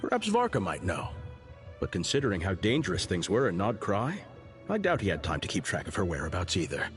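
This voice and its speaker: A composed male cardinal from a video game. His delivery is formal and measured, yet melodic and gently wry. Soft music plays underneath. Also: on the low side. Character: composed, devout male cardinal, a fatherly churchman. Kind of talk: scripted video game dialogue.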